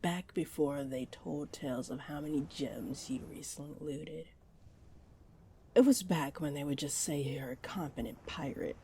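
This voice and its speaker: A woman voicing a flirty, possessive mermaid in an intimate roleplay audio drama, coaxing in breathy half-whispers. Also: deep voice, measured pace, mellow, slight background noise. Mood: adoring. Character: flirty, possessive, greedy female mermaid luring a pirate. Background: wave ambience.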